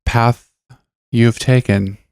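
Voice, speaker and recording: A man's voice: mid-range voice, steady tone, very slow, a little mellow, smooth, no room sound. A middle-aged man co-hosting a podcast in casual chat, playful and self-mocking, laughing often. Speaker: podcast co-host.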